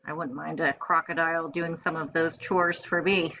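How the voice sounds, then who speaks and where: Lower-voiced woman reading to children, dull recording. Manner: expressive, pausing to explain words simply. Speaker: first grade teacher reading aloud. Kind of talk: storybook read-aloud for young children. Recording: dull lo-fi home recording with faint noise.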